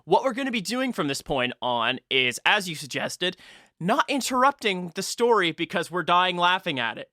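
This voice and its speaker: Animated, bright-voiced woman hosting. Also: on the low side, normal speed, no room sound, studio quality. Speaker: podcast host. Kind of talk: casual show introduction and chat.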